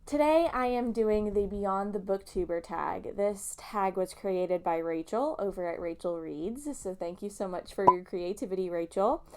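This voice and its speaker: A young woman rambles through a book vlog in a loose, self-mocking way and keeps wandering off topic. Her voice is smooth and clean, with a slight nasal, sniffly edge from allergies. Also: medium pitch, moderate pace, few pauses. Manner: chatty, self-mocking, goes off on tangents. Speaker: booktuber.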